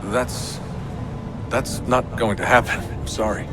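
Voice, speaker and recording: An actor playing a reluctant, gentle young man in a video game, speaking in a subdued, hesitant way. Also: mid-range voice, fairly even intonation, takes it slowly, noisy background, natural voice. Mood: apologetic. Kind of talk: naturalistic video game acting. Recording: music behind the voice.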